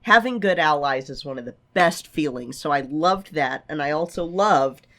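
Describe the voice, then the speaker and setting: A podcast co-host chatting casually about a film, halting and often circling back to rephrase mid-thought. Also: medium pitch, lively intonation, bright, forceful tone. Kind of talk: casual chat, film discussion.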